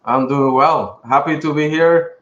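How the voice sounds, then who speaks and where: A man's voice: fairly high pitch, unhurried, a bit bright. A middle-aged man with a Puerto Rican accent talking casually as an interview guest. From Puerto Rico. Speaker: podcast guest, pharmaceutical executive. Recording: roomy echo, slightly dull sound.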